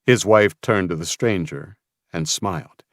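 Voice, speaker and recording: A man's voice: deep voice, measured pace, no echo, studio quality. A man reading scripted dramatic fiction as an audiobook narrator.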